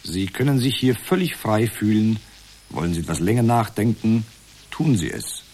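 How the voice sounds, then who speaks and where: An actor playing a calm therapist in a radio drama, speaking in measured, formulaic reflections. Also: male voice, fairly low pitch, slightly rough. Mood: measured, probing. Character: male talk therapist, calm, formulaic, parroting his client.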